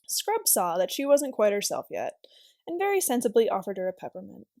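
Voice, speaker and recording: A woman's voice: medium pitch, huge pitch swings, brisk, creaky, warm tone, no room sound, clean studio sound. A woman reading aloud as a volunteer storyteller, narrating a children's novel expressively.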